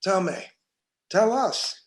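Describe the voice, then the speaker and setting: Man acting scornful, high-voiced, slow-talking police detective. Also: lively intonation. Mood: pressing, accusing. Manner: accusatory, sarcastic, deliberate. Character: hard, scornful, bullying male police detective. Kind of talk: dramatic screenplay table read.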